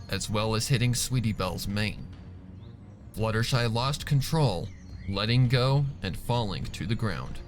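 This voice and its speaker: A man narrating a piece of fan fiction as a dramatic scripted reading. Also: medium pitch. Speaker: story narrator. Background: faint music.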